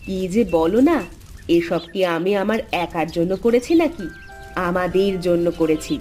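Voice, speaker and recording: A woman's voice: on the low side, moderate pace, darkish tone, soft background music, natural voice. A woman voicing a practical, encouraging village housewife in a cartoon moral story, with an earnest, coaxing delivery. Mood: tender.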